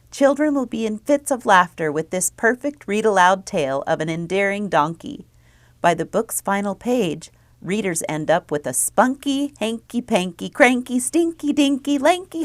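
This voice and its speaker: A woman library presenter reading scripted announcements and children's book reviews, clearly enunciated. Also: mid-range voice, no room sound.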